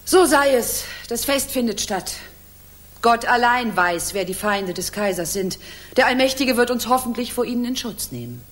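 A woman acts as a medieval empress and regent in a radio drama. She is commanding and anxious, with formal, theatrical speech that swings widely in pitch. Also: lively intonation, moderate pace, background noise, lightly acted. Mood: solemn, resolute. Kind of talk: German radio play acting.

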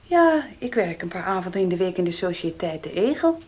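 A woman's voice: on the low side, big pitch swings, brisk, a little mellow, husky. An actress playing a defiant young working woman in a radio play, speaking in a tense, evasive and curt way. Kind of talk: Dutch radio play acting.

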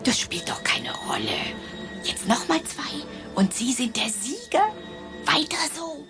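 Soft, high-voiced controlling patroness. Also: female voice, sing-song, a little slow, over music, heavily acted. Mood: coolly pleased. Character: cool controlling woman, game patron of her champion. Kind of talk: radio play acting.

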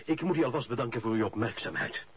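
A man's voice: medium pitch, fast talker, over a noisy crowd, close to an everyday voice. An actor playing a self-important official and club chairman in a radio drama, pedantic and blustering. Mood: smoothly placating. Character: self-important male food inspector and football club chairman. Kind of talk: Dutch-language radio drama acting. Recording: dull lo-fi radio play recording.

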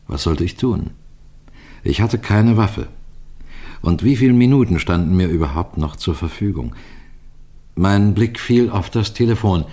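A man's voice: sits low, darkish tone. A man playing a wily, composed police detective in an old-fashioned radio crime play, courteous and teasing in a theatrical way. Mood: tense, measured. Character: wily, composed male police detective telling his own case. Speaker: narrator and lead actor.

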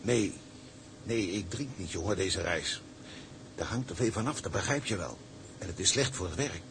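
An actor playing a weary, sentimental older tugboat captain in a Dutch radio drama, halting and fatherly. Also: deep voice, background noise. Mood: weary, firm.

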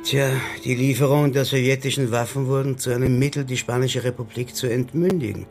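An actor playing a well-informed political comrade of a detective in a radio crime drama, speaking in a wry, knowing manner. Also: male voice, mid-range voice, steady tone, fairly quick, natural voice. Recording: studio radio drama with music underneath.

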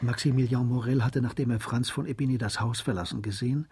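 An actor as the composed storyteller of a German radio drama, narrating a classic novel in a husky voice. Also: male voice, medium pitch, fairly even intonation, quick pace, a little mellow.

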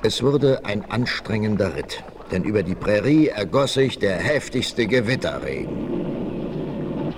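A man's voice: lowish voice, very animated, slow pace. A man narrating a 1960s German radio play in a dramatic, scripted storytelling style. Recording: noisy old vinyl rip.

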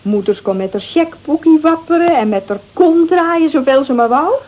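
Brash adulterous housewife, radio drama, Netherlands Dutch accent. High, very animated, slangy and gossipy. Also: female voice, normal speed, lo-fi sound. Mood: gossipy, scornful. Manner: slangy, gossipy, theatrically expressive. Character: brash bored adulterous housewife, flippant, easily rattled.

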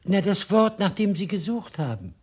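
An insistent man prodding with questions in an absurd radio play. The voice is high, husky and very animated, with faint music underneath. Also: normal speed, exaggerated voice.

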